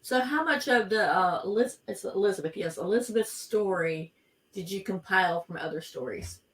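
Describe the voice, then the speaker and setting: A woman's voice: medium pitch, expressive, normal speed, a bit bright. A woman hosting a podcast interview in a friendly, chatty way. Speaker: podcast host. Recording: roomy, distant from mic.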